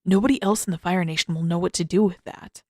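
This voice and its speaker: A woman voicing a reserved, earnest teenage prince in an understated, measured and wry way for an audiobook story. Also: lowish voice, fairly even intonation, mellow, no room sound, clean studio sound, lightly acted.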